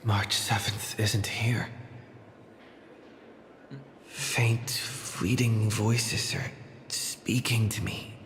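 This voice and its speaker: A man's voice: lowish voice, level tone, very slow, long pauses, fairly bright tone, in a whisper, full character voice. A man playing a bewildered but determined young traveler in an anime game, speaking in hesitant, pensive monologue. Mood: puzzled, uneasy.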